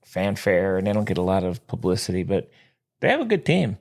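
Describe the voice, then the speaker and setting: A man co-hosting a podcast in casual sports chat, ranting with exasperation and jumping up in pitch when worked up. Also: big pitch swings, brisk, vocal fry, darkish tone. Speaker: podcast co-host.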